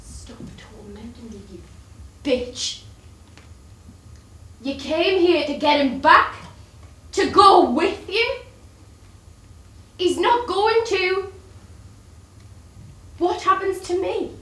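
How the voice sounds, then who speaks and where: A woman's voice: very high-pitched, lively intonation, drawn-out words, long pauses, fairly bright tone, full character voice. A woman acting in a stage play as a desperate, bitter, jealous woman, volatile, foul-mouthed and sardonic. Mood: bitter, agitated. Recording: roomy, distant stage recording with faint noise.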